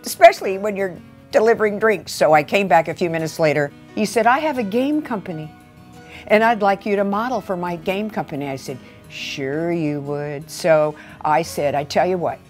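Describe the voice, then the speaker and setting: American woman, 60s, lowish voice, animated interview storyteller, soft music behind. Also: moderate pace. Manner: animated storyteller, quoting dialogue. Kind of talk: personal anecdote in documentary interview. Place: furnished living room. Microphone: lapel microphone.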